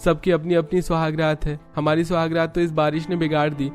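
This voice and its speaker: A man's voice: a little high, level tone, fairly quick, faint music behind, pitched above the performer's own voice, natural voice. A man voicing an apologetic, worried young husband of small means in a cartoon moral story. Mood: resigned. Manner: apologetic, earnest.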